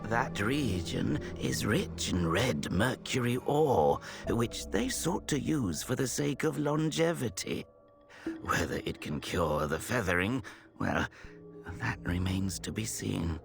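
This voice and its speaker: A grave, caring healer in a game cutscene. He speaks slowly and formally with a lively, expressive pitch over audible music. His manner is courteous and measured. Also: vocal fry, exaggerated voice. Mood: grave, apologetic. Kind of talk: dramatic video game cutscene acting.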